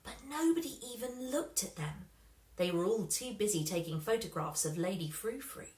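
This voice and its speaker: A woman reading a children's story aloud with expressive, dramatic emphasis. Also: mid-range voice, moderate pace, echoey room. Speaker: storybook reader.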